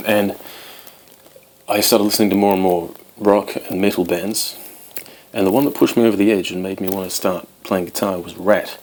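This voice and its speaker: A man's voice: sits low, steady tone, moderate pace, a little mellow, slight background noise, low-quality recording. A man in his twenties, born in Wales, chatting casually to camera as host of his guitar channel, rambling with many ums. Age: 20s.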